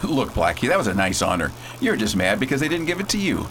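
Guy doing folksy confident spaceship captain, voice swings around a lot, slangy radio play hero, noisy rumble behind. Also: sing-song, fast talker, a bit bright. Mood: breezy. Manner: slangy, breezy, emphatic. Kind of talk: amateur radio theater acting.

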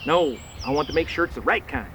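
An actor playing a suspicious, curt outlaw gang boss in a western radio drama. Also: male voice, on the high side, lively intonation, quick pace, background noise, lightly acted. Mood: impatient, suspicious. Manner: curt, commanding.

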